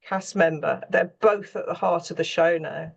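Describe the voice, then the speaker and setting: A woman speaking as a scriptwriter on a panel, candid and thoughtful, often pausing mid-sentence as she reflects. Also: lowish voice, vocal fry, highs cut off. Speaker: scriptwriter for radio and theatre.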